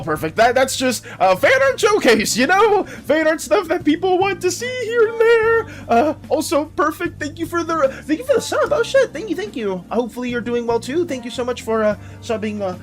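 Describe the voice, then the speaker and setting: High-pitched guy streaming, kinda hoarse, rambles and stutters thanking chat. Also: fairly bright tone. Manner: rambling, stammering, repeats words; reads chat messages aloud and thanks viewers. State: slightly hoarse, dry voice. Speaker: livestreamer. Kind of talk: casual livestream chat.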